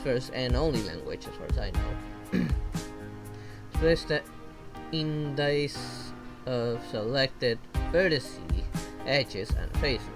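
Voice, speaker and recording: A man whose first language is not English, reading software documentation aloud slowly and haltingly and breaking off for casual asides. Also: medium pitch, lively intonation, over music. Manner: slow halting reading with self-interrupting asides. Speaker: vtuber streamer. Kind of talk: reading software docs aloud while learning.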